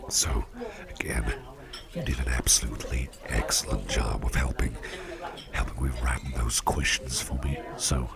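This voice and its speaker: A man voicing a gracious, devilish male boss in an ASMR roleplay, praising the listener in soft whispers. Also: rumbling low, fairly even intonation, normal speed, vocal fry, a crowd in the background. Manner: effusive, softly whispered praise.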